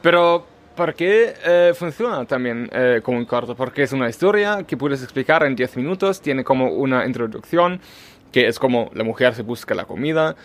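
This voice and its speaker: A man's voice: medium pitch, lively intonation, normal speed. A man with a German accent, native German speaker, co-hosting a podcast in casual chat, thinking aloud with many fillers and restarts. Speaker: podcast co-host.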